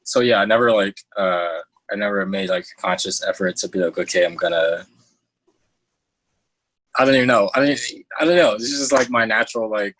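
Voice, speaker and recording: A young man chats casually as a guest, feeling his way through his thoughts in a halting, hesitant manner. His voice is fairly low and husky, recorded dry and free of echo. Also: fairly bright tone. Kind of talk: casual chat. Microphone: headphones on an internet call.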